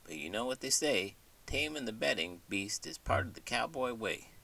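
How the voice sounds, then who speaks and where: A man's voice: mid-range voice, lively intonation, moderate pace, gravelly, bright, forceful tone, breathy edge, far from the mic, full character voice. A man voicing a good-natured, puzzled cowboy with an American cowboy drawl and folksy turns of phrase in a scripted bedtime skit. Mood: amused, folksy. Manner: folksy, colorful cowboy idioms. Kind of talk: scripted comedic bedtime interlude.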